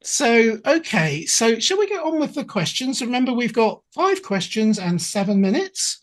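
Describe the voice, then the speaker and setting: A middle-aged English woman hosting a business podcast, chatty and effusive. Her voice is husky and a little breathy. Her pitch moves up and down a lot as she talks. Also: very animated, moderate pace. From England. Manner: chatty, effusive, with playful asides. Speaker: podcast host and accountability coach. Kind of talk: podcast interview.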